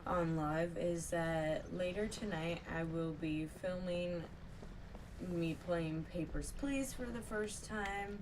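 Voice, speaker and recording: A woman's voice: sits low, noisy background. A woman game streamer chatting casually over gameplay on a livestream.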